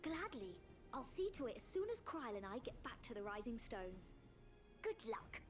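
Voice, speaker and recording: A woman's voice: a little high, very animated, moderate pace, soft background music. A woman voicing a small, chirpy, helpful young character in a game, eager and cutesy with a British accent. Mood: bright, apologetic. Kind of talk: game cutscene voice acting.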